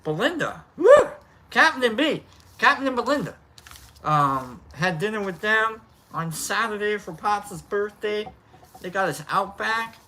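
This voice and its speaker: A live stream host with a nasal, bright, sharp-edged voice talks casually to the chat, rambling and repeating greetings to viewers. The pitch sits mid-range. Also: very animated, unhurried, slight background noise. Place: outdoor lounge by a fire pit.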